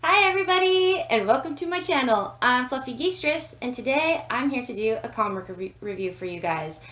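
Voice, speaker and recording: A woman's voice: high-pitched, expressive, fairly quick, fairly bright tone. A woman host with a stuffy head cold, chatting casually through a comic review, full of ums and apologetic asides. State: congested from a cold, sniffling. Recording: roomy, slightly distant sound.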